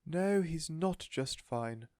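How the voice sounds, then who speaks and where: Man putting on a high-pitched, gushing storybook lady. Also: animated, slow, a little mellow, no echo. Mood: fretful, insistent. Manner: gushing, effusive, exclaiming. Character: sweet, gushing woman doting on her pet tortoise. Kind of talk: storybook character voice in audiobook reading.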